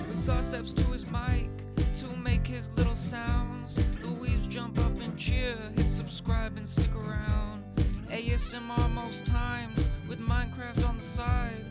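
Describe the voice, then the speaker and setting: A woman performing a sung jingle as the outro of a video. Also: fairly high pitch. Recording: music playing behind the voice.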